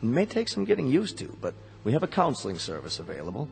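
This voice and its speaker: An actor playing a smooth company salesman in a radio drama, with polished, reassuring patter. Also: medium pitch, huge pitch swings, quick pace, full character voice. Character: smooth persuasive salesman of replacement android bodies. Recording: hall reverb with music behind.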